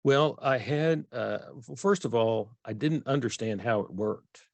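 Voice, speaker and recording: A man's voice: mid-range voice, a little slow, creaky, no echo. A middle-aged man from Texas with a Texan accent, speaking earnestly as an author guest in a conversational interview, often restarting his sentences. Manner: earnest, self-correcting restarts.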